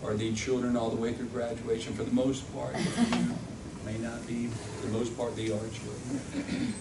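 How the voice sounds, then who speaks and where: A man leads a classroom workshop. He poses question after question and often answers them himself. He sounds far from the microphone, with a roomy echo and steady noise behind him. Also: medium pitch, normal speed, vocal fry. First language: Spanish. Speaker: workshop instructor. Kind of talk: classroom lecture. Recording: echoey room from far off, background noise.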